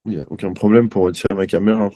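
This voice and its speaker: A man giving a live software demo on a video call, chatty and self-mocking, thinking aloud with many fillers. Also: lowish voice, fairly even intonation, racing, no echo. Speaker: guest presenter.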